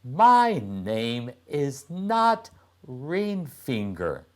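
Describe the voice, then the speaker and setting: A man's voice: medium pitch, wildly swooping pitch, very slow, voice pushed up, heavily acted. A man voicing a curious, easily annoyed young boy in a children's storybook read-aloud.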